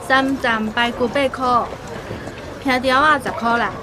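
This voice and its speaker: Woman playing a high-voiced coy Taiwanese market girl. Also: fairly even intonation, a little slow, noisy background, lightly acted. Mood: coy scolding. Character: coy young market stall girl, mock-scolding. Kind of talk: radio drama acting.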